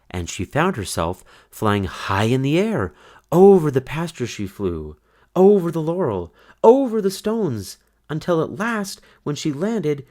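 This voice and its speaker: A man narrating a children's storybook in a dramatic read-aloud style, with deliberate phrasing and pauses. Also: on the low side, darkish tone.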